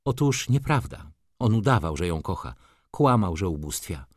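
A man voicing an imperious, flirtatious society lady from a novel, commanding and theatrical, quick to scornful indignation. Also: deep voice, very animated, no echo, clean studio sound. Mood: indignant, scornful.

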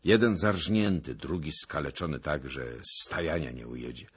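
A man's voice: extremely low pitch, animated, moderate pace, husky, exaggerated voice. A man voicing a portly, boastful old nobleman who talks in a bombastic, theatrical, lamenting way in an audiobook.

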